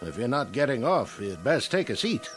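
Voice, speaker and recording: A man's voice: mid-range voice, sing-song, moderate pace, soft background music, lightly acted. A man acting as a folksy, matter-of-fact train conductor from the 1880s in a radio drama. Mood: genial, matter-of-fact.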